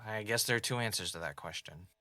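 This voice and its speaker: A man's voice: on the low side, fast, bright, forceful tone, no echo. A man playing a former reality show contestant turned podcast host, haunted and defensive, in naturalistic audio drama dialogue. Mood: wistful, aching.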